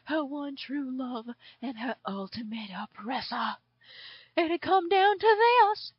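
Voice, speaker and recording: Southern belle dragon played by a woman, extremely high voice, theatrical storybook narrator, dull lo-fi sound. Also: lively intonation, breathy edge, no room sound, heavily acted. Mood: dramatic storytelling. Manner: theatrical, drawn-out emphasis. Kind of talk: dramatic fan fiction reading. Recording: dull lo-fi home recording.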